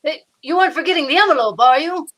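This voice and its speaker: A woman's voice: sits high, fast talker, fairly bright tone. A woman playing a fussy, droll, superstitious male sidekick in a radio mystery, arch and theatrical. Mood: droll, dismayed. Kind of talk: old-time radio comedy-mystery acting.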